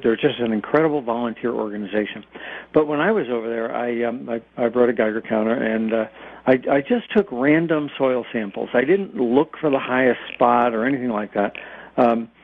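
An older man from the United States explains things as an expert guest in a radio interview, haltingly and with repeated words. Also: mid-range voice, creaky. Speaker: guest nuclear engineering expert. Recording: narrow, phone-like radio line.

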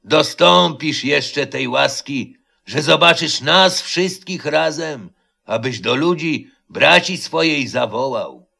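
Man reading as a solemn, slow-spoken legendary knight. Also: fairly high pitch, expressive, vocal fry, bright, forceful tone, exaggerated voice. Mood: solemn promise. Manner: grave, declamatory. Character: solemn commanding sleeping knight warrior of legend.

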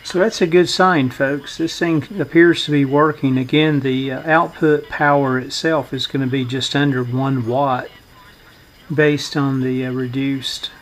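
Man with a very even, unvarying delivery. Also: mid-range voice, measured pace, a little hiss or hum. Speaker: host demonstrating a vintage radio repair. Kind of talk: hands-on workbench demonstration. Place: indoor workbench.

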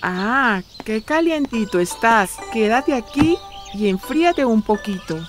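A woman's voice: a little high, expressive, measured pace, faint music behind. A woman playing a fond, fussing old peasant grandmother in a children's cartoon dub, exclamatory and sing-song. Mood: tender.

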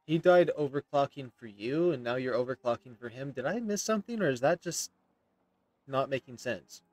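A man's voice: medium pitch, expressive, moderate pace, darkish tone, no echo, faint music behind. A man in his thirties giving casual, joking playthrough commentary on a game and reading bits aloud, sardonic in manner. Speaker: gaming streamer.

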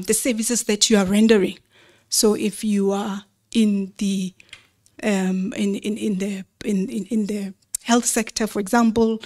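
Woman, medium pitch, measured didactic delivery, convention presenter explaining slides.

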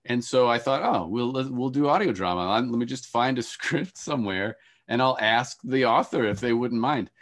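A middle-aged man hosting a panel on a video call in a conversational, halting way with repeated starts. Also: medium pitch, lively intonation, fairly bright tone. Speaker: panel host, moderator. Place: room with shelves of boxes. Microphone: video call, earphones.

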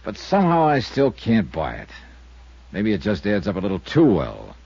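A man's voice: on the low side, huge pitch swings, moderate pace, gravelly, a little mellow, slightly rough, no echo, exaggerated voice. An actor playing a hard-boiled male insurance investigator in a 1950s radio drama, dry, wisecracking and probing. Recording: muffled old radio recording, dry.

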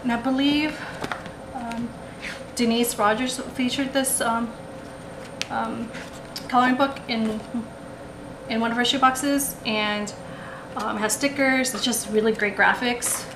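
A woman shows her finds at a table in a relaxed, rambling way, filling pauses with ums and restarting her sentences. The room rings with echo, and a clear hum of noise sits under her voice. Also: on the high side. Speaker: haul vlogger. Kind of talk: casual show-and-tell haul. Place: indoors at a table, camera over the table. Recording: echoey room with background noise.

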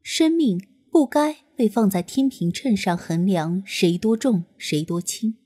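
A woman reading an audiobook in expressive storytelling narration. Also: medium pitch, unhurried, breathy edge. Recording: narration over soft music.

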